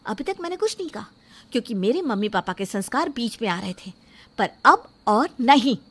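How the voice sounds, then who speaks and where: A woman's voice: on the high side, big pitch swings, brisk. A woman playing an outspoken, self-respecting young bride-to-be in a story drama, speaking firmly and indignantly. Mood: resolute. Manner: firm, indignant, emphatic. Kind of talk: dramatised Hindi moral story acting.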